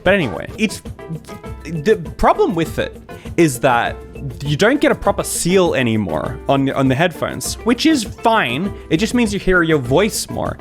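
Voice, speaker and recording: Lively Australian man chatting on stream. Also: on the high side, measured pace, faint music behind. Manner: rambling, chatty, jokey. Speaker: YouTuber and streamer. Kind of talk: casual livestream chat.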